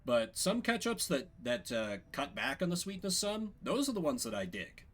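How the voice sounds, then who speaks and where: A man's voice: medium pitch, big pitch swings, normal speed. A man from the Midwestern United States streaming a game, chatting casually in an opinionated, rambling way. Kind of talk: casual chat and game narration.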